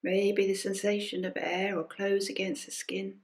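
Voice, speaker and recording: A woman leading a guided meditation as a teacher, speaking gently and unhurriedly in short phrases with pauses and soft invitations. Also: mid-range voice, flat delivery. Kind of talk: guided body scan meditation. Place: recorded during an online session.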